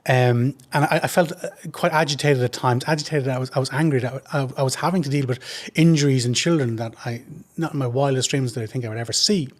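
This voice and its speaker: Earnest Irish man, measured telling. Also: mid-range voice. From Ireland. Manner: earnest, measured, with frequent restarts. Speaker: trauma surgeon guest. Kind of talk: reflective interview answers.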